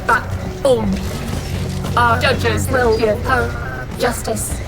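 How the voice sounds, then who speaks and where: Courteous female robot, slightly high, unhurried, formal game dialogue, loud music behind. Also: fairly even intonation, vocal fry, exaggerated voice. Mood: calm, measured. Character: calm, courteous, principled female robot envoy. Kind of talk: formal video game cutscene acting.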